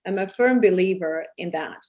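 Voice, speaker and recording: A woman's voice: fairly low pitch, moderate pace, darkish tone. Serbian-accented woman, earnest interview guest. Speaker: interview guest, program producer and charity founder. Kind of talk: interview answers.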